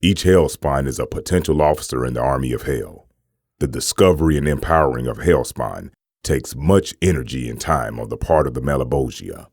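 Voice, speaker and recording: Male actor, grave clinical narrator, extremely low, dark gravelly tone, measured, formal, dry comic-dub recording. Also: animated, no room sound, heavily acted. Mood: cold, instructive. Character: grave, clinical narrator reading a demon-hunting manual. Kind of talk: scripted narration.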